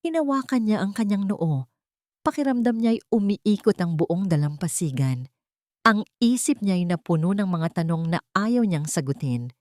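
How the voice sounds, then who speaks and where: A woman storyteller delivering scripted dramatic narration in a solemn, measured manner. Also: medium pitch, animated, a little mellow, dry recording, studio quality.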